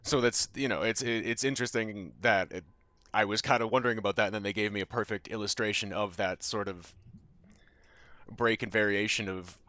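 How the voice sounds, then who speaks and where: A man's voice: fairly low pitch, fairly even intonation, fairly quick, bright, forceful tone, no echo. A young Canadian man with a Canadian accent, chatting casually with his stream viewers in a rambling, loose way with plenty of fillers and casual swearing. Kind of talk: casual chat with viewers while gaming.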